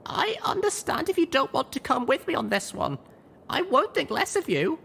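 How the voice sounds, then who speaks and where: A man's voice: very high voice, very animated, normal speed, vocal fry, sharp tone, a little hiss or hum, voice pushed up, heavily acted. A man voicing a small, stubborn, righteous young mare who leads an adventure in an audio drama. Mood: earnest.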